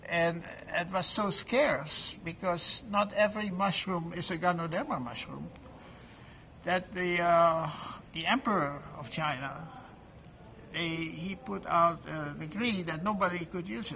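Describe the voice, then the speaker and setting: An older man in his late seventies, his voice on the high side for a man, with a gravelly edge and a bright, forceful tone. He speaks haltingly, breaking off and restarting words and filling pauses with "uh" and "you know." This is an informal testimonial recorded at a trade event. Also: a little hiss or hum. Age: 70s. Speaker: product promoter at an event booth.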